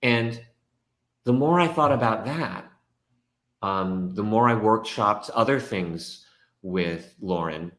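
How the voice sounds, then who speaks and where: Man, low voice, animated storyteller, echoey room. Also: slow pace. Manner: animated storytelling, reenacts his own thoughts. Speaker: guest, actor. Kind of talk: casual conversation.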